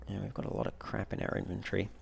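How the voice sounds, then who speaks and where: A man giving low-key, casual gameplay commentary, musing aloud as he plays. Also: completely flat delivery, racing, creaky, husky. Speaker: let's play host.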